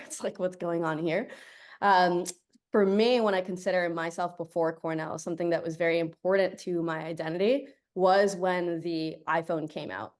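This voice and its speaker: A young woman from Colombia whose first language is Spanish, speaking as a guest over a video call. She is chatty and upbeat and laughs easily. Her voice sits fairly low for a woman.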